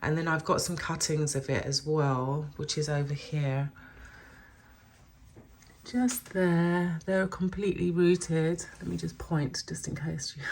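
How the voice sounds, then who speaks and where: A woman's voice: low-pitched, flat delivery, takes it slowly, darkish tone. A woman with a bit of a cold, chatting in a rambling way as she shows her houseplants in a home vlog. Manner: rambling, chatty, self-correcting. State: stuffy with a cold. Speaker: plant vlogger. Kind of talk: chatty plant care vlog.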